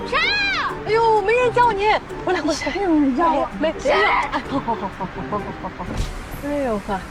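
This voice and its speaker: Excitable young sidekick, flustered, high pitch, very lively intonation, TV drama. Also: female voice, a little fast, loud music behind the voice. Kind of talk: screen drama acting.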